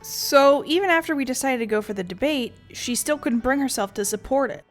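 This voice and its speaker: A woman's voice: high voice, lively intonation, brisk, soft background music. A woman streaming a game, reading dialogue aloud and commenting casually.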